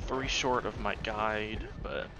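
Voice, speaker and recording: A man's voice: on the low side, fairly even intonation, takes it slowly, noisy background. A man giving casual, offhand live commentary as he plays a game, often muttering to himself. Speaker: speedrunner streamer. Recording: game music behind the voice. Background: video game music.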